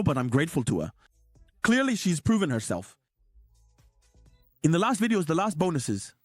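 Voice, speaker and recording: A hoarse man giving excited, repetitive casino slot commentary as a streamer. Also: on the high side, no room sound, clean studio sound.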